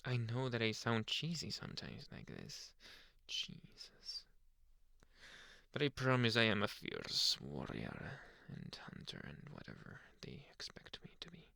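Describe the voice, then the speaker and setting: A man voicing a devoted, possessive young alpha werewolf boyfriend in an intimate anime ASMR roleplay, breathy and often dropping to a whisper. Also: medium pitch, wildly swooping pitch, no echo, full character voice. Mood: sheepish, earnest. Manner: slow, sighing, soft murmured endearments. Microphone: close microphone, dry.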